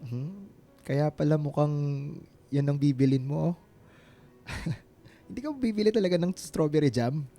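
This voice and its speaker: A man's voice: on the high side, measured pace, long pauses, dark tone, soft background music. A man playing a friendly, nostalgic former sweetheart in a romantic radio drama, with a hesitant, boyishly eager manner. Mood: gently teasing.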